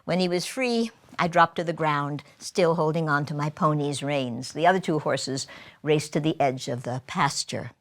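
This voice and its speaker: A woman storyteller telling a first-person tale in a deliberate, expressive way with dramatic pauses. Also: low-pitched.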